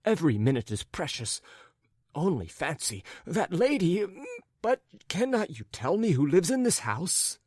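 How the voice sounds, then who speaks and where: A man voicing a muddled, apologetic middle-aged gentleman in a story, agitated and wordy, stumbling over and correcting himself. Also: on the high side, very animated, measured pace, dry recording, clean studio sound, pitched above the performer's own voice. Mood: flustered, pleading.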